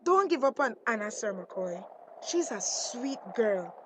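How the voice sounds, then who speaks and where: An actress playing a loyal, steady, deferential woman in a screen drama, soothing and reassuring, with a Belizean accent. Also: a little high, unhurried. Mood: consoling. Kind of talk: acted screen drama dialogue. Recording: noisy background ambience.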